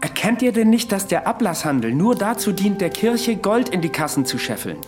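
An actor playing a blunt, persuasive advisor in a historical radio drama, urgent and commanding. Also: male voice, high voice, sing-song, music in the background. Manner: urgent, commanding, deliberate when dictating. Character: insistent, blunt, persuasive male advisor to a monk.

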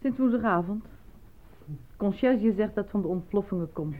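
A woman's voice: medium pitch, moderate pace, lightly acted. A woman acting as a pragmatic, coaxing working wife in a radio drama, colloquial and down-to-earth. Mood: matter-of-fact. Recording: thin phone-like recording, faint background noise.

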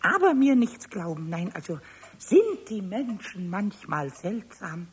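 Squeaky little kobold guy, high gravelly voice that jumps all over, drawls slow, cheeky and stubborn, old radio play. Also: a little hiss or hum. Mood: indignant. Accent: Bavarian. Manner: squeaky, excitable, stammering repeats. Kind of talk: 1970s radio play acting.

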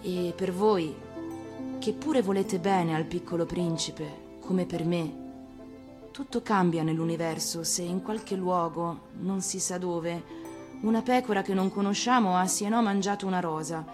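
A woman reading a story in the first person as an actress would, emotive and hesitant, pausing between short phrases. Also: fairly low pitch. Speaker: actress reading a fairy tale. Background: music.

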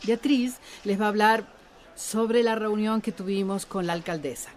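A woman's voice: mid-range voice, normal speed, lightly acted. A woman playing an upbeat, level-headed community organizer in a radio drama. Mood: rallying.

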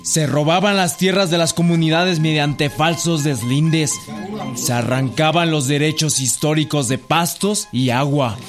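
An actor playing an emphatic storyteller, narrating a scripted historical radio drama. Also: male voice, on the high side, measured pace, music in the background, close to an everyday voice. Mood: solemn, emphatic.

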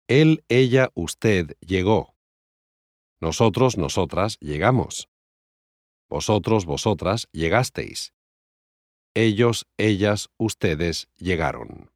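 A man reading scripted language lesson examples with careful, deliberate enunciation, as in a conjugation drill. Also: fairly low pitch, no echo, clean studio sound.

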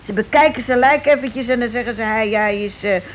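An actress playing a meek, anxious working-class housewife in a Dutch radio play, speaking plainly and hesitantly. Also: female voice, fairly high pitch, fairly quick, natural voice. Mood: frightened. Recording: dull, noisy old radio recording.

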